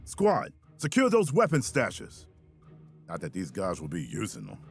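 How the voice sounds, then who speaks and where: Gruff, animated military squad commander. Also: male voice, deep voice, measured pace, faint music behind, heavily acted. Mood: irritated, urgent, then dry sarcasm. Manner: commanding, wry, clipped military phrasing. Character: gruff, sardonic mobile infantry field commander barking orders. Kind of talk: video game military voice acting. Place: studio voice recording, music behind.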